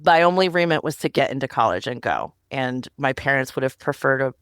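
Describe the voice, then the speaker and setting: A chatty woman guest on a podcast, from Northern Virginia, talking through her story with easy, self-deprecating humor. Her voice is smooth and resonant, recorded close and dry with no room sound. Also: very deep voice, animated, brisk, fairly bright tone, no room sound. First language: Korean. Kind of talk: casual interview storytelling.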